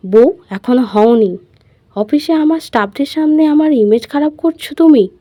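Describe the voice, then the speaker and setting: A woman's voice: high-pitched, lively intonation, moderate pace, mellow, no echo, pitched above the performer's own voice. Woman voicing a curt, domineering male boss. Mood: annoyed. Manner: commanding, blunt. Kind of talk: audio love story dialogue.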